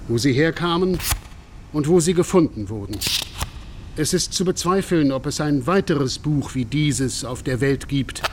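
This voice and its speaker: A man reading a scripted radio documentary narration in a solemn, measured manner. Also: fairly high pitch, expressive, a little hiss or hum.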